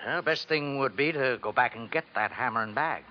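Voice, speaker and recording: A man's voice: mid-range voice, fairly quick, close to an everyday voice. An actor playing a folksy older carnival man, slyly reassuring in colloquial 1950s radio drama style. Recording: dull old radio recording, faint noise.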